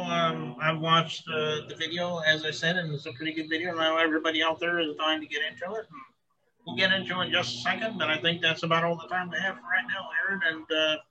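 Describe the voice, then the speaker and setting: A man hosting a show, friendly and steady as he leads the interview. His voice is very bright and fairly high, with a flat, even melody. The sound is slightly dull and lo-fi. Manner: friendly, steady, plugs the guest. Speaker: show host.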